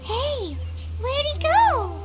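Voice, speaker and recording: A woman voicing a tiny, curious, naive childlike girl companion in a video game, squeaky and wide-eyed. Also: big pitch swings, drawn-out words, full character voice. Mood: puzzled, disappointed. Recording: music under the voice.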